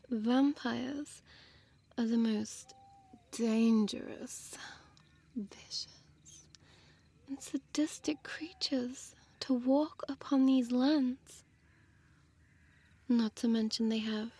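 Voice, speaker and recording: Audio roleplay vampire, female, played by a woman: very slow, breathy half-whisper, seductive, teasing, condescending predator with formal diction. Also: fairly high pitch, darkish tone, exaggerated voice. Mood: menacing, seductive. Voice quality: breathy, often dropping to a whisper. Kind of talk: intimate audio roleplay addressed to listener.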